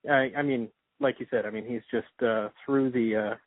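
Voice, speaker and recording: A man interviewing casually, asking his questions in a halting, hesitant way over a call. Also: medium pitch, fairly even intonation, no room sound. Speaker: interviewer, podcast host. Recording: tinny narrowband phone-like sound.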